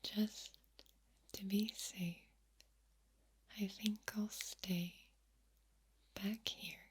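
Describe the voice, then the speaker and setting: A woman doing soft-spoken ASMR, mostly in a breathy whisper, deliberately drawing out and repeating words close to the microphone. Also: mid-range voice, little pitch movement, slight background noise. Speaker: ASMR host. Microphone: close, sensitive microphone.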